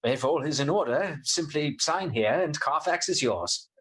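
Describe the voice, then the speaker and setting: An actor playing a polite, earnest young gentleman in a radio play, speaking precisely and formally. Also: on the high side, lively intonation, normal speed. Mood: businesslike. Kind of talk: radio play acting with diary narration.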